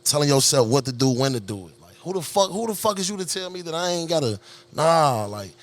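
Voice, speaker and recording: A man's voice: fairly high pitch, big pitch swings, a little fast. A man hosting a podcast, talking in a hyped, slangy, rambling way with lots of fillers. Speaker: podcast host. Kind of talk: casual podcast interview.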